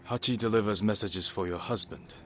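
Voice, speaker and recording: A man playing a stoic, earnest young samurai in a video game, speaking in a measured, firm way. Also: on the low side, natural voice. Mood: firm, persuasive. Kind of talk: video game drama acting. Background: faint game music.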